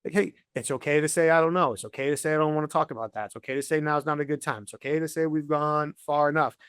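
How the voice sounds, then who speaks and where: Higher-voiced affirming male podcast host. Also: steady tone, quick pace, fairly bright tone, clear, resonant tone, no echo, studio quality. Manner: enthusiastic, affirming, stammers at starts. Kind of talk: conversational podcast interview.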